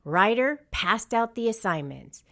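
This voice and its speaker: Lady reading a kids picture book, takes it slow, voice goes up and down a lot. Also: on the high side. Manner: animated, deliberate storytelling. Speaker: storybook reader. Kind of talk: scripted children's read-aloud narration.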